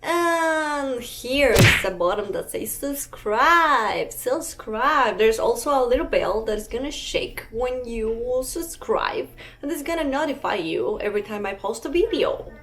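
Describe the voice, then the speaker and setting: A woman's voice: fairly high pitch, expressive, measured pace, fairly bright tone. Chatty Ecuadorian woman vlogging. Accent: Ecuadorian Spanish. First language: Spanish. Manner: chatty, rambling, frequent fillers and restarts. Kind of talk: casual storytime.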